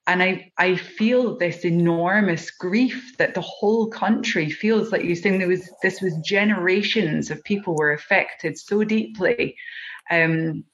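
A woman's voice: lowish voice, unhurried, highs cut off. A Scottish woman speaking earnestly and heartfelt as a podcast guest, with many ums and restarts. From Scotland. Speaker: project organiser guest. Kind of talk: podcast conversation.

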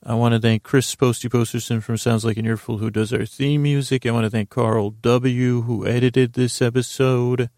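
A man hosting a podcast in a rambling, improvised bedtime-story style, halting and meandering, often repeating himself, with a creaky voice. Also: mid-range voice, flat delivery, a little mellow, dry recording, clean studio sound. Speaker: podcast host.

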